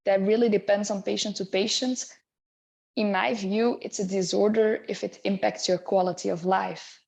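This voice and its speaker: Belgian woman with gravelly edges. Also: medium pitch, fairly even intonation, a little mellow. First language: not English. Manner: measured, explanatory, occasional hesitations. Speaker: clinician presenting a webinar. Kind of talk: webinar presentation.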